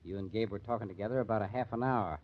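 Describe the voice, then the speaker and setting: A man's voice: fairly low pitch, very fast, close to an everyday voice. Hard-boiled American police detective played by a man. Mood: accusing. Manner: needling, hard-boiled interrogation. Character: tough, sardonic, suspicious police detective. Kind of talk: old-time radio crime drama acting. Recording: dull old-time radio recording.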